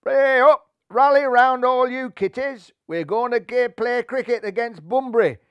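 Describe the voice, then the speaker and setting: A man's voice: very high voice, fairly even intonation, measured pace, smooth, dry recording, lo-fi sound, pitched above the performer's own voice. A man voicing a cocky male tomcat gang leader with a Yorkshire accent for a storybook. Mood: brash.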